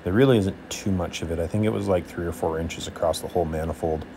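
Low mellow voiced guy, laid-back voice-over on his welding, lots of ums, faint hum behind. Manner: relaxed, offhand, drawn-out fillers. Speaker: welder and channel host.

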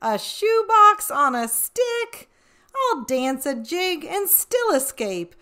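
A woman's voice: very high voice, big pitch swings, slow, pushed and bright, pitched above the performer's own voice, exaggerated voice. A woman voicing a cheeky, boastful leprechaun in a rhyming picture-book read-aloud, drawing out key words playfully. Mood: cocky taunting.

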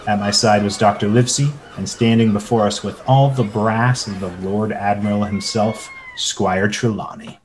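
A man's voice: fairly low pitch, steady tone, moderate pace, few pauses, slight background noise, close to an everyday voice, poor audio. A man playing a narrator who recalls his boyhood sea adventure in a radio play. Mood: reflective, storytelling. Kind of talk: scripted narration.

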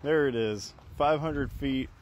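A man's voice: medium pitch, slow. A man talking casually through a hands-on product review in a wry, matter-of-fact way.

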